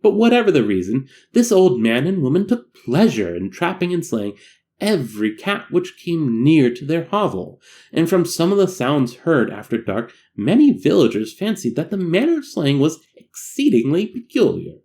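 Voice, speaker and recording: A man reading a classic tale aloud as a narrator, in a dramatic, measured and solemn storytelling manner. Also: fairly high pitch, sing-song, darkish tone. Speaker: amateur audiobook narrator.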